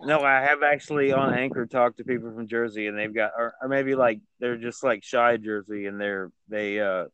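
A man's voice: medium pitch, moderate pace, hardly any pauses, a bit bright, clean tone, dry recording, dull audio. A man hosting a casual podcast chat, stammering and repeating words, with laid-back slang.

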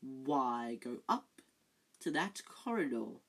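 Lady reading as a cold sneery teacher guy, low slow hushed voice, kinda husky. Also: expressive, heavily acted. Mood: sneering. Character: sneering cold male schoolmaster.

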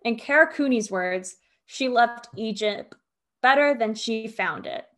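A woman with a distinctly bright, forward voice pitched a little high. She co-hosts a podcast, chatting casually and with enthusiasm. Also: measured pace. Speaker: podcast host.

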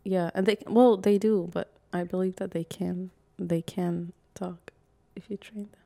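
A woman's voice: on the low side, fairly even intonation, measured pace, creaky, dark tone. A woman from Iraq co-hosting a podcast, chatting casually with blunt, teasing banter. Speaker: podcast co-host. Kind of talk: casual chat.

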